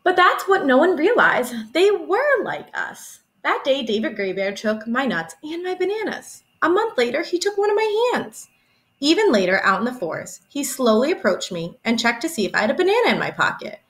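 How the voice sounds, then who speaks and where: Lively high-voiced woman reading a storybook. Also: normal speed. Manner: expressive, storytelling. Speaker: teacher reading aloud. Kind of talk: animated children's picture-book read-aloud. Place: small room at home, indoors.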